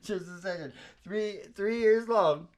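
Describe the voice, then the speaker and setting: A woman's voice: mid-range voice, unhurried, a little mellow. A woman chatting casually and rambling, with an irreverent, salty way of talking, as host of a music show. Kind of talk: casual rambling chat and acoustic country and rockabilly singing.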